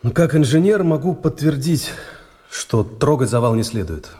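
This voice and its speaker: An actor playing a condemned engineer in a radio drama, honest and humbly pleading, with a halting, deferential way of talking. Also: male voice, mid-range voice, animated, dark tone, close to an everyday voice. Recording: reverberant radio play, faint music.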